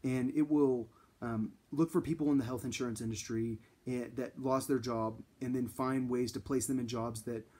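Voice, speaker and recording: A husky-voiced man in his thirties talks casually to a close camera in a small indoor room. He rambles, restarting sentences and filling pauses with ums. His pitch sits in an ordinary middle range. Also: steady tone, mellow. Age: 30s. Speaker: vlogger. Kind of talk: casual direct-to-camera talk. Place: small indoor room against a plain wall.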